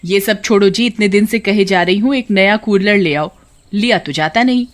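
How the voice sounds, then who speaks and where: A woman voicing a pointed, sarcastic village wife in an animated story, given to scolding. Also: mid-range voice, moderate pace, natural voice. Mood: nagging.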